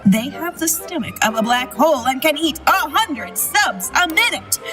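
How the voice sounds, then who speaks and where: A woman's voice: high voice, big pitch swings, normal speed. A woman playing a bombastic, shifty circus ringmaster in an audio drama, with grandiose, scornful showmanship. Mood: showy hype. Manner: grandiose barker delivery. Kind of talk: theatrical audio drama acting. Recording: music behind the voice.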